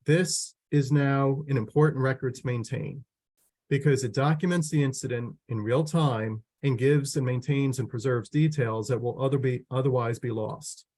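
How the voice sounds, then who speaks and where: A man with a hoarse voice presenting a training webinar as an extension educator, moving quickly with frequent restarts and fillers. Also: medium pitch, darkish tone.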